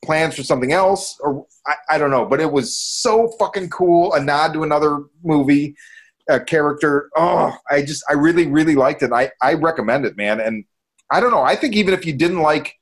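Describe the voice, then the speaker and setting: A man's voice: on the high side, moderate pace. A man reviewing movies casually as a podcast co-host, enthusiastic and swearing freely.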